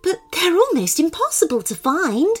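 A woman's voice: extremely high pitch, very animated, normal speed, voice pushed up. A woman voicing an earnest, helpful female fox in a children's story. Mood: worried.

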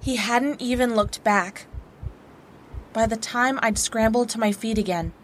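A woman's voice: fairly high pitch, unhurried, a little hiss or hum. A woman giving a scripted narration of a horror story as a first-person account. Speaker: guest narrator.

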